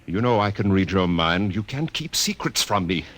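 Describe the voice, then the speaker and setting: Low-voiced, jealous Martian husband. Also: male voice, animated, normal speed, fairly bright tone. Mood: accusing. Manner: brusque, demanding, accusing. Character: jealous, controlling, bad-tempered Martian husband. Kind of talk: dramatized science fiction radio drama.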